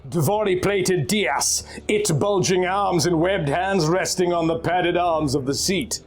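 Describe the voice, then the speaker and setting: A man reading pulp fantasy aloud in a theatrical, scripted way, drawing out words at the ends of phrases. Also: a little high. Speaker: narrator reading a story aloud.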